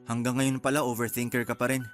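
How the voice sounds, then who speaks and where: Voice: medium pitch, little pitch movement, fast talker, faint music behind, natural voice. An actor playing a guarded, protective young love interest in a radio drama, with a Filipino accent and restrained delivery. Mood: guarded, concerned.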